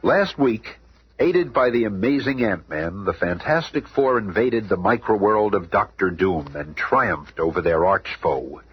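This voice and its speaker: Male radio drama narrator, animated, dramatic, muffled noisy 1970s recording. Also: lowish voice, unhurried, breathy edge, soft background music. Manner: dramatic storyteller. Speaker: story narrator. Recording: dull, noisy old radio tape.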